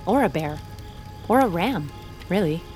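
A woman's voice: lowish voice, lively intonation, a little slow, darkish tone, faint music behind. A woman playing a blunt, wry, hardened fugitive in a fantasy audio drama. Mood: wry, wary.

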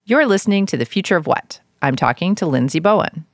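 A woman's voice: on the low side, lively intonation, brisk, dry recording, clean studio sound. A woman hosting an interview podcast, speaking as presenter and interviewer. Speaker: podcast host. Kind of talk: podcast interview and sponsor reads.

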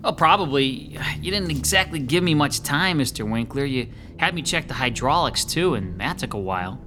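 A man's voice: medium pitch, expressive, moderate pace, sharp tone, faint music behind. A man playing a chatty, joking handyman with a morbid streak in an audio drama. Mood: breezy, sly. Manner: casual, joking, chuckling.

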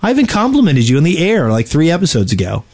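A man's voice: on the high side, wildly swooping pitch, quick pace, darkish tone. A man co-hosting a podcast, talking casually about video games with playful banter. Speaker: podcast co-host.

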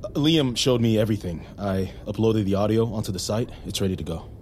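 A man acting in a naturalistic audio drama as an awkward, self-deprecating war returnee, speaking in a halting, sheepish way. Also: on the low side, darkish tone, slight background noise, natural voice. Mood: nervous.

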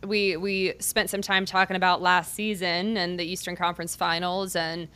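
A woman's voice: lowish voice, flat delivery, normal speed, sharp tone, clean tone. A young woman hosting a sports podcast, talking casually and with enthusiasm, with many fillers. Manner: friendly, filler-heavy, enthusiastic. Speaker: beat reporter, podcast host.

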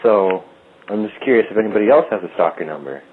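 A man's voice: on the low side, lively intonation, fast talker, husky. A man leaving a casual, slightly rambling voicemail as a listener calling in. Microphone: telephone. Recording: muffled, tinny phone call.

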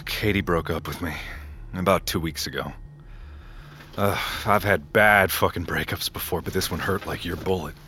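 A man's voice: low voice, moderate pace, slight background noise. An actor playing a terrified, wired captive who narrates his ordeal in clipped inner monologue for an audio drama. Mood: subdued, confiding. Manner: clipped present-tense inner monologue, swearing. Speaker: narrator and lead character. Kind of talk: first-person scripted audio drama narration. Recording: loud music bed under the voice. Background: dramatic music.

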